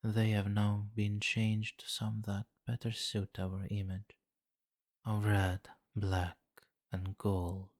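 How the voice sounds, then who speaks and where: A man voicing a seductive, menacing vampire king in an intimate ASMR fantasy roleplay, slow and commanding, with a condescending, teasing amusement. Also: lowish voice, completely flat delivery, a little mellow, a little hiss or hum. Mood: composed, haughty. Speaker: roleplay voice performer.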